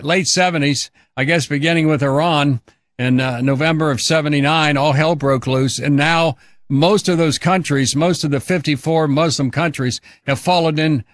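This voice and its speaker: A man's voice: on the high side, fairly bright tone, no room sound, clean studio sound. An older man hosting a political talk radio show, speaking emphatically and repeating phrases to drive points home.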